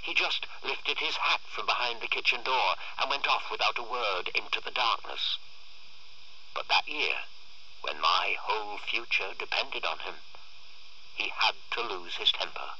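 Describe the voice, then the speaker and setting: A man reading a literary short story aloud in a theatrical, expressive way. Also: fairly low pitch, a little slow, slightly rough, no room sound, lo-fi sound. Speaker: story reader.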